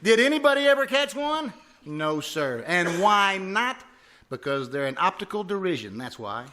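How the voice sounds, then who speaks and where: Casting: male actor as blustering know-it-all husband, high, sharp voice, folksy old-time radio comedy delivery. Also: sing-song, normal speed, slight background noise, heavily acted. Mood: scoffing, cocksure. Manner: emphatic, scoffing, folksy. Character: blustering, stubborn, cocksure husband full of malapropisms. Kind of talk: 1950s radio comedy acting, live re-enactment.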